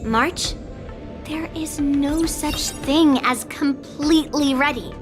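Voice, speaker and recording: A woman's voice: sits high, slow pace, long reverb tail. An actress playing a confident young female sword master mentoring her pupil in a video game. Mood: encouraging. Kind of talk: video game character voice acting. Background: game music.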